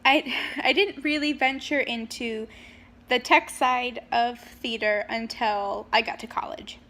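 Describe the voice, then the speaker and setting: A young woman talks straight to her camera in a casual, chatty way, with lots of ums and sudden bursts of speed. Her voice sits a little high and rings bright and clear. It sounds like a home video recording. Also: fairly even intonation, a little slow. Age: young adult. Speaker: vlogger. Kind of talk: casual personal storytelling. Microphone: handheld camera.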